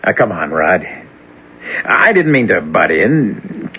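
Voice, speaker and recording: Sly enigmatic brother, husky low voice, wildly swinging pitch, muffled radio sound. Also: male voice, very animated, slow pace, heavily acted. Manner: nonchalant, sly, drawling. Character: young man, cool enigmatic brother, sly. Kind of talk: 1970s radio drama acting. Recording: muffled old radio broadcast.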